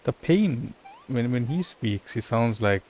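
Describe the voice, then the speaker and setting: A man giving casual, halting live commentary on a video game. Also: medium pitch, animated, darkish tone, a little hiss or hum. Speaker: gameplay commentator.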